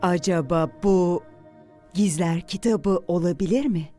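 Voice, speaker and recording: A woman playing a thoughtful, serious young adventurer in an animated series dub, speaking expressively. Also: on the low side, unhurried, soft background music, lightly acted. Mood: intrigued.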